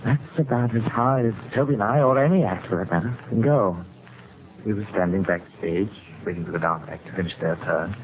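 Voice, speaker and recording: An actor playing a proud, brooding, touchy music-hall ventriloquist in a vintage radio drama, speaking haltingly and intensely. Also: male voice, fairly low pitch, close to an everyday voice. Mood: proud recounting. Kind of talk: 1940s radio drama acting. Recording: noisy, tinny vintage radio recording.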